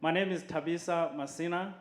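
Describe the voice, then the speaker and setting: A man from Zimbabwe giving a measured, hesitant address as a board member to a church audience. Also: fairly high pitch, fairly even intonation. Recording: roomy echo.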